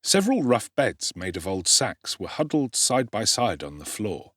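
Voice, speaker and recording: A man's voice: fairly low pitch, wildly swooping pitch, moderate pace, fairly bright tone, dry recording, studio quality. A man giving a dramatic narration of a classic novel as an audiobook actor.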